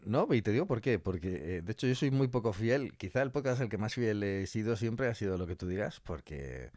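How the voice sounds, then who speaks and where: A man's voice: lowish voice, animated, brisk, darkish tone, dry recording, clean studio sound. A man with a Spanish accent from Spain chatting casually and colloquially as a podcast guest, often repeating phrases for emphasis. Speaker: interview guest, audio fiction scriptwriter.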